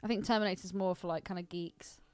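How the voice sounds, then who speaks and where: A woman's voice: on the low side, fairly even intonation, fast, a little mellow, clean tone, no room sound. A woman co-hosting a casual podcast, chatting in an informal, slangy, unscripted way. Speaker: podcast co-host.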